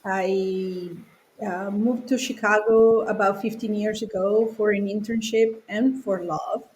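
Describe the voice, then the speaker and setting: A woman in her forties from Italy speaks English with an Italian accent at a medium pitch. She answers interview questions conversationally, pausing and feeling for her words. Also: steady tone, a little mellow, a little hiss or hum, poor audio. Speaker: podcast guest, children's author.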